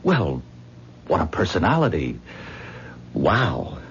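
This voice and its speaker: A very deep, modest admirer. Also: male voice, huge pitch swings, takes it slowly, full character voice. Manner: self-deprecating, earnest. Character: modest, admiring kind man, new friend. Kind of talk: 1970s radio drama acting. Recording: dull old radio broadcast recording with faint hiss.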